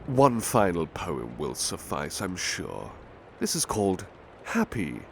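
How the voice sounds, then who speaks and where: A man playing a big-hearted butler, reading poems in a measured, gentle, formal ASMR style. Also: low-pitched, wildly swooping pitch, gravelly, heavily acted. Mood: gentle, courteous. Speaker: ASMR roleplay performer. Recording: faint ambient background noise.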